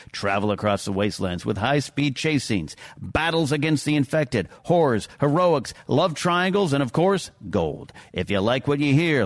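A man delivers scripted promotional narration for a podcast. His pitch is middling, and the recording is dry with no room sound. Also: no echo. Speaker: podcast announcer.

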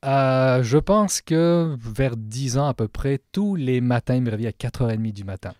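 Man, French accent, chatty, self-deprecating, middle pitch, dry recording. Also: normal speed, a little mellow, dry recording. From France. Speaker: guest, trumpeter and music professor. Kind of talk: relaxed podcast interview.

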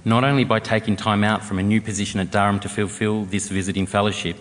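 A man giving a formal, read-out introduction of a guest lecturer in a steady delivery. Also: lowish voice, quick pace. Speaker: event host introducing a speaker. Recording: long hall reverb.

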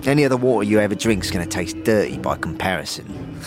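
A man's voice: on the low side, big pitch swings, fast. A man voicing a wry, boastful scarred barkeep in a fantasy audio drama, talking casually and teasingly. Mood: proudly boastful. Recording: music behind the voice.

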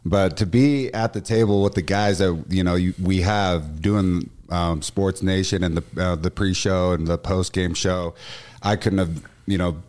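A young man in his twenties who talks loudly and with emotion, a former football player chatting as a studio guest. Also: low-pitched, a little slow. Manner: loud, emotional, animated delivery. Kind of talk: casual radio chat.

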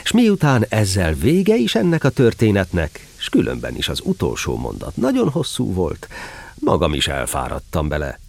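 A man narrating a scripted children's story in an expressive storytelling manner. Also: low-pitched, gravelly, slightly rough.

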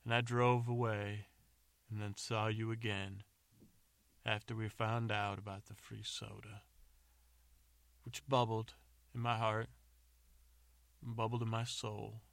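A man voicing a hapless adventurer in a told story, earnestly reciting terrible love poetry in a halting, overwrought way. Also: fairly low pitch, flat delivery, vocal fry, fairly bright tone, dry recording, heavily acted. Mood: earnest, yearning.

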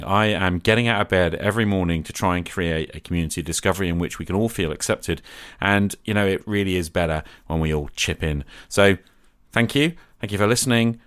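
A man hosting a podcast in a conversational, earnest and reflective way, with measured phrasing. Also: lowish voice, a bit bright, clean tone, dry recording, clean studio sound. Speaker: podcast host. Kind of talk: conversational podcast talk on tabletop roleplaying.

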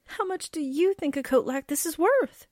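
An actress playing a breezy, playful young gal with slangy talk in 1940s-style radio drama. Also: female voice, high voice, animated, normal speed, a little mellow, no echo, clean studio sound. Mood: proud, teasing. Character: breezy, man-hungry young gal, reporter's chummy sidekick.

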